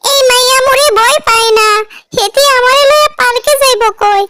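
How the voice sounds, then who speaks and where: An actor voicing a lovesick, excitable little male cartoon ghost in a squeaky, childlike voice with colloquial speech. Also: moderate pace, noisy background. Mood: smitten, boastful.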